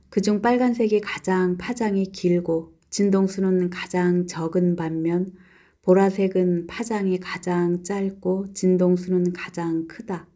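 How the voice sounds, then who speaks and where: Calm, low, mellow-voiced woman reading aloud. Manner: calm, gentle, even delivery. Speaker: reader of a nonfiction book.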